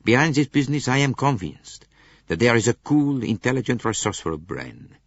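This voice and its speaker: A muffled audiobook recording of a shrewd Belgian detective. He speaks with a French-speaking Belgian accent, swooping in pitch as he makes each point deliberately and precisely, with genial authority. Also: big pitch swings, gravelly, no room sound. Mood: convinced.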